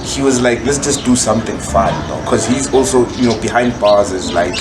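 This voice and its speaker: A man's voice: lowish voice, normal speed. A man talking as a guest rapper in a casual podcast interview, slangy and full of repeated catchphrases. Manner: slangy, repeats catchphrases like "right on" and "you know". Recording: noisy background behind the voice.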